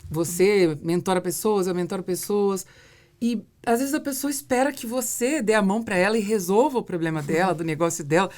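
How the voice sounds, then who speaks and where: A woman's voice: mid-range voice, brisk, darkish tone. A Brazilian woman hosting a casual interview, explaining her thoughts at length and building points through repetition. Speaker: podcast host and business mentor.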